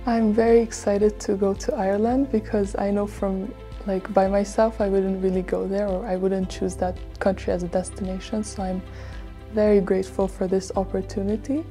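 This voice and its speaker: A woman's voice: mid-range voice, flat delivery, moderate pace, dark tone, soft background music. A teenage girl, born in Hungary, giving an earnest, chatty self-introduction as a student on a lapel mic in a studio. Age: teens. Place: studio set with flags.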